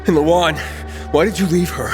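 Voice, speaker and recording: A man's voice: fairly high pitch, normal speed, lightly acted. A male actor playing an earnest young hero in a dramatic video game, intense and accusing as he confronts a betrayer. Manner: accusing, intense, pressing with questions. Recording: long hall reverb, music behind.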